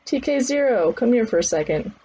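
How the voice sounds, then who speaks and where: A woman voicing a loud, foul-mouthed, demanding old combat trainer who barks commands in an audiobook. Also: a little high, lively intonation, brisk, lo-fi sound, a little hiss or hum, pitched above the performer's own voice. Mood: commanding. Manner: barking commands. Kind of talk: acted audiobook dialogue.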